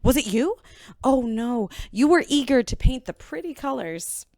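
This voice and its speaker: An actress playing a stern, exasperated chief engineer in a sci-fi radio drama, scolding and sarcastic. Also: female voice, high voice, animated, unhurried, a little mellow, no echo.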